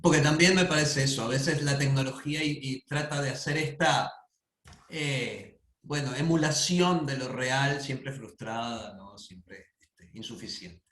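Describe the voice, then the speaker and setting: A man giving a thoughtful, hesitant comment at an academic panel, often pausing with fillers. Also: mid-range voice, fairly bright tone. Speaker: conference panelist. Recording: roomy echo.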